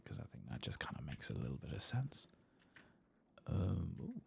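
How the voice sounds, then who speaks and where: A man giving soft-spoken ASMR commentary over video game play, hushed and at times slipping into a whisper close to the mic. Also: deep voice, huge pitch swings, normal speed, creaky, breathy edge. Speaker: host of a gameplay channel.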